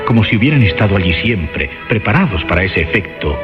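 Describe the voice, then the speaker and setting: A man with a very deep voice narrates a horror radio play. His delivery is solemn and literary, like formal storytelling. Loud music sits beneath a slightly dull recording. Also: big pitch swings, brisk.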